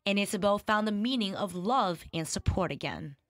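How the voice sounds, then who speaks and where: A woman's voice: mid-range voice, expressive, normal speed. A young woman reporter giving a scripted news report in an emphatic announcer manner. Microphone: handheld mic.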